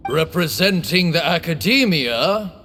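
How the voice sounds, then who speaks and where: A man's voice: fairly high pitch, lively intonation, a little slow, pushed and bright. A man voicing a solemn elder who presides over a ritual duel in a video game, speaking in a stately, ceremonial way. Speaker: ceremony master. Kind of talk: formal dramatic game voice acting. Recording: long hall reverb over music.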